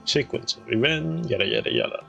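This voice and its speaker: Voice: medium pitch, wildly swooping pitch, a little fast, gravelly, bright, forceful tone, dull audio, soft background music. A game streamer muttering brief, laconic reactions while playing.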